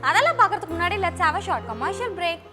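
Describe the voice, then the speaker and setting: A woman's voice: extremely high pitch, big pitch swings, moderate pace. A woman presenting a show to viewers as its host, in an upbeat style. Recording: music bed under the voice. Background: music.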